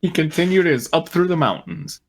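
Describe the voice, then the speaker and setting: A man hosting a comedy reading of fan fiction, narrating aloud and breaking off for sarcastic, animated asides. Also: on the high side, moderate pace, slight background noise. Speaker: host and narrator.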